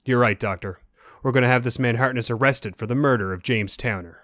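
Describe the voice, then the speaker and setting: A man's voice: medium pitch, fairly quick, fairly bright tone, dry recording, close to an everyday voice. Man playing a matter-of-fact medical colleague, dry sound. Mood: satisfied. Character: matter-of-fact male hospital lab colleague reporting findings. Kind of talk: remake of classic radio mystery acting.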